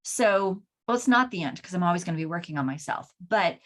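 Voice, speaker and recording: A woman's voice: lowish voice, animated, brisk. A woman in her forties hosting a solo podcast, talking candidly and earnestly about her own experience, often restarting her sentences. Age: 40s. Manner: candid, earnest, self-reflective, with frequent restarts. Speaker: podcast host.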